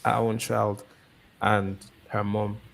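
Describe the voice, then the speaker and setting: A man's voice: fairly low pitch, little pitch movement, slow pace, gravelly, a crowd in the background. Rambling male host of a live stream. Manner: rambling, repeats words and restarts. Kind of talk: live online interview.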